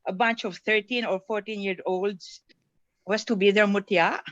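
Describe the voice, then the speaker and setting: A woman's voice: mid-range voice, steady tone, moderate pace, a bit bright, dry recording. A woman with a Filipino accent reading script lines conversationally over a video call. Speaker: reader at a table read. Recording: dull, dry video-call audio.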